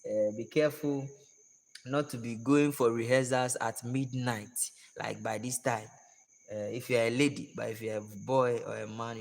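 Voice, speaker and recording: A hoarse man giving a relaxed music lesson to singers, repeating his advice in an unhurried, gentle way. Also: mid-range voice. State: hoarse, voice worn out. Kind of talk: casual spoken tutorial. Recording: long reverb with music playing behind.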